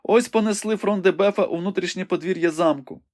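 A man's voice: high-pitched, brisk, a little mellow, voice pushed up, lightly acted. A man voicing a young woman who watches a battle from a window and cries out what she sees. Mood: urgent, fervent. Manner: breathless, exclamatory reporting. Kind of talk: audiobook reading.

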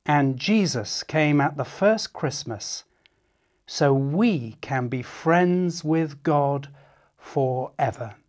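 A man reading a children's story aloud in an expressive, dramatic and deliberate way. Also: a little high. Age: 50s. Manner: animated, dramatic, deliberate, addressing young listeners.

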